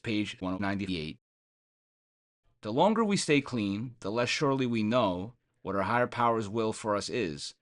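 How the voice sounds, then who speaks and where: A man reading a scripted daily meditation aloud as a guest reader. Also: on the low side.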